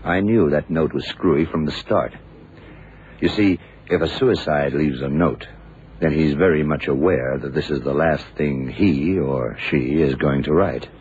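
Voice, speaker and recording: Shrewd detective, very low voice, hard-boiled, deliberate clipped delivery, vintage radio drama, muffled noisy recording. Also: male voice, a little mellow. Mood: stern, accusing. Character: shrewd, hard-boiled blind male detective confronting killers. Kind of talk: 1970s radio drama acting.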